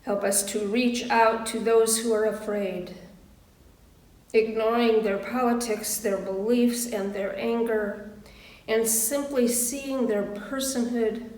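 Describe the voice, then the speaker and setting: A woman minister giving a sermon and prayer in measured, deliberate short phrases with pauses. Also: on the high side, steady tone, darkish tone. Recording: roomy echo, distant microphone.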